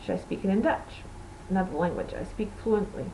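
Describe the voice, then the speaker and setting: A woman's voice: medium pitch, expressive, brisk, warm tone, slight background noise, low-quality recording. A woman from Portugal, a native Portuguese speaker, hosting a knitting podcast in rambling, self-correcting casual chat.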